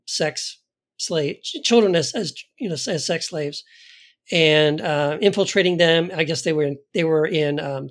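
A man's voice: fairly high pitch, creaky. A middle-aged man hosting a casual interview, talking in a rambling way and circling around his points. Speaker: interviewer, host.

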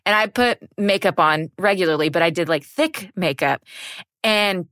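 A woman in her thirties with a slightly low-pitched voice hosts a podcast. She talks casually and effusively, with plenty of slang, and the sound is dry and close. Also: normal speed, fairly bright tone, no room sound. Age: 30s. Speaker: podcast host. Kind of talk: casual chatty podcast talk.

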